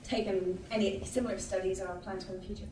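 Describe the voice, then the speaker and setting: A woman in the audience asking the panel a question in a hesitant, halting way. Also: mid-range voice, fairly even intonation, mellow, slight background noise. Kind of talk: question from the floor. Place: conference hall. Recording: distant in an echoey hall.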